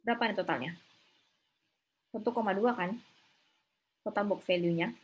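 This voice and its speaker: Slightly husky woman lecturing informally. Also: mid-range voice, normal speed, lo-fi sound. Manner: conversational, often seeking confirmation with short tag questions. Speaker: accounting lecturer. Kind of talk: informal online lecture, thinking aloud with frequent questions to students. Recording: slightly rough online class recording.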